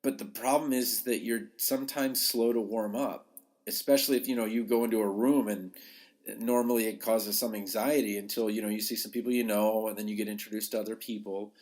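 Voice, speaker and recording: Man, somewhat low pitch, podcast host, coaching tone, conversational, emphatic, informal advice. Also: steady tone, a little fast, a little mellow. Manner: conversational, emphatic, acts out short quotes. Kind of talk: informal advice talk to listeners.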